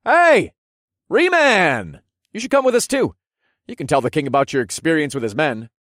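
A man's voice: medium pitch, fast talker, no room sound, clean studio sound, pitched above the performer's own voice, lightly acted. A man voicing a brash, casual fantasy hero with cheeky modern phrasing in an audiobook. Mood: loud, upbeat.

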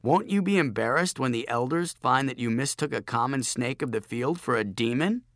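Storybook girl read by a male narrator: young, composed, gently scornful, crisp dry sound. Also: medium pitch, animated, normal speed, no echo. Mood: cool, mocking. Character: self-possessed young village girl, cool and gently scornful.

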